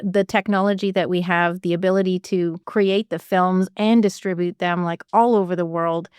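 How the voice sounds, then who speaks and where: A woman podcast host from Canada with a smooth, clear voice, chatting with her guest. She sounds enthusiastic and talkative and laughs easily. The sound is dry and close to the mic. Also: on the low side, fairly even intonation, moderate pace, darkish tone, no room sound. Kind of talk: casual interview chat.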